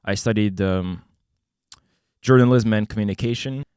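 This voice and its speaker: A young man with a low-pitched, smooth, resonant voice hosts a casual podcast conversation. He talks in a relaxed way, often laughing and pausing on fillers. The sound is close and dry, with no room echo. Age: young adult. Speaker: podcast host.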